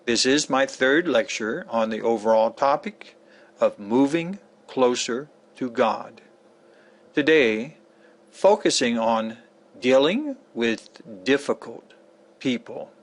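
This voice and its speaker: Man voicing solemn holy teacher, slow and deliberate, preachy, admonishing, widely swinging intonation, dramatic lecture reading. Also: mid-range voice, lively intonation. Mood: solemn, measured.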